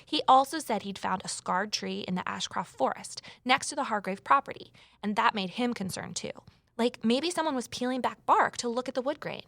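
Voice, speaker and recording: A woman's voice: on the high side, expressive, brisk, gravelly, fairly bright tone, no room sound. An actress playing a determined, curious woman investigator in a scripted mystery audio drama, with a wry, conversational manner. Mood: earnest. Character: determined, curious ex-journalist woman, defiant amateur sleuth. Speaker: narrator and lead character.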